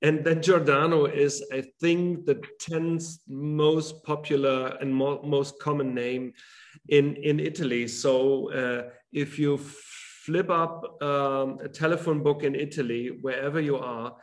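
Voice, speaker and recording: German man, fifties, slightly high voice, halting with restarts, webcam call. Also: steady tone. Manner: halting, with many restarts and fillers. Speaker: author guest. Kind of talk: casual interview talk. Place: home room with a large drawing on the wall. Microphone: video call webcam.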